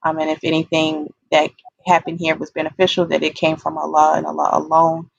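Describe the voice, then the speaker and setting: Creaky, even-toned woman speaking haltingly. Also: sits low, dry recording, highs cut off. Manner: hesitant, frequent pauses and ums. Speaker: panelist giving public health talk. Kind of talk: informal community talk with closing prayer. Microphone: video call.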